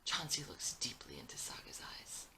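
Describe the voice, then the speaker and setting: A woman's voice: moderate pace, pushed and bright, whispered, poor audio. A woman reading scripted action and scene directions as a dramatic narrator. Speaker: screenwriter reading own script.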